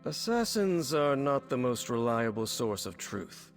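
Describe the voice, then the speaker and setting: An actor voicing a sober, dutiful commander giving a measured, formal, serious quest briefing in a video game. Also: male voice, medium pitch, sing-song. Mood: grave, concerned. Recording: game dialogue over background music.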